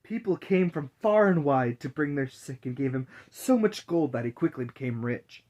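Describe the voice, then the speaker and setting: A man's voice: medium pitch, expressive, moderate pace, darkish tone. A man narrating a fairy tale as a dramatic storyteller's reading.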